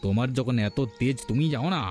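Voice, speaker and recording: A man's voice: mid-range voice, brisk, a little mellow, soft background music, natural voice. A man voicing a stubborn, domineering shopkeeper husband in a cartoon, blustering and defensive in manner. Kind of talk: cartoon dialogue acting.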